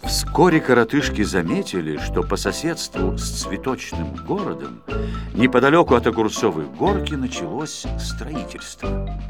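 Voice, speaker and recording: A man narrating a children's storybook in an expressive, scripted storytelling style. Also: on the low side. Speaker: audiobook narrator.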